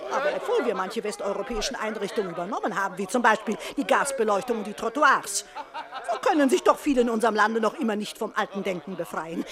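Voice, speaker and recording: A self-righteous society lady in a radio play. Her high voice swoops widely as she scolds and declaims. She is haughty and full of moral outrage. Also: a bit bright.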